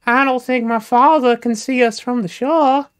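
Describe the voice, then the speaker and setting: A woman's voice: a little high, normal speed, smooth, voice pushed up, lightly acted. Woman doing a coy genteel young lady. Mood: coy shy. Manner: old-fashioned genteel, hesitant and coy. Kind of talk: comic storytelling.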